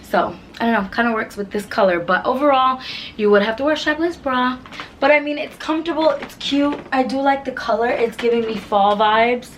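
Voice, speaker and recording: A woman in her twenties with a naturally deep voice made hoarse by illness, chatting calmly and quietly through a clothing try-on in her bedroom. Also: fairly quick, slight background noise. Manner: calm and quiet, with laughs. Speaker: fashion vlogger. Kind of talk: casual try-on haul chat. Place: bedroom with vanity and mirror.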